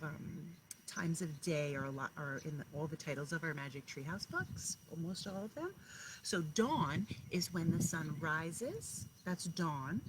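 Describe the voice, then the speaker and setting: A woman kindergarten teacher talking and reading aloud to young children, speaking to them directly and pausing to explain and ask questions. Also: deep voice, background noise.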